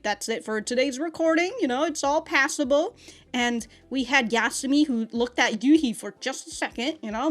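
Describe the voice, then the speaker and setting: A woman with a fairly high voice talks through an episode recap as a reaction host. She is chatty and animated, uses loose slang and curses freely, with music playing behind her. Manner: chatty, laughs often while talking. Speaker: reaction video host, anime reviewer.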